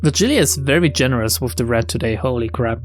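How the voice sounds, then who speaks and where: A man's voice: medium pitch, expressive, fast talker. Man reading visual novel text over music. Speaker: let's play host. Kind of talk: reading game text aloud with casual commentary. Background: game music.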